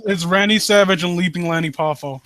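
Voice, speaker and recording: Bright, high-pitched man chatting bluntly. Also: fairly quick, rough recording. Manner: blunt, sweary. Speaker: host of a live story critique show. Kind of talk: casual chat, off-the-cuff critique.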